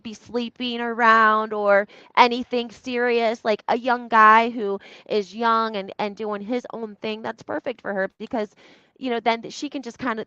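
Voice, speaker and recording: A woman with a fairly high voice talks through reality TV in a casual, opinionated way. She is conversational and leans on emphasis and rhetorical questions. The sound is a little muffled and lo-fi, with the echo of a room around her. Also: pushed and bright. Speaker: reality TV recap commentator. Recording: roomy echo, slightly dull lo-fi sound.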